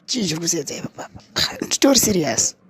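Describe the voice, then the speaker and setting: A man voicing a cocky, defensive young man in a comedy cartoon, excitable and protesting, with a Zimbabwean accent. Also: fairly high pitch, fast, slight background noise. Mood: indignant.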